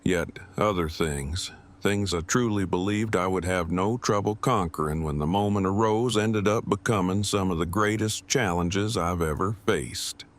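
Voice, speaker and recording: A man playing an awkward, bookish groom in an audio drama, sincere, with stiff, measured, wordy phrasing. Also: low-pitched. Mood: reflective. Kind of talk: fan audio drama acting.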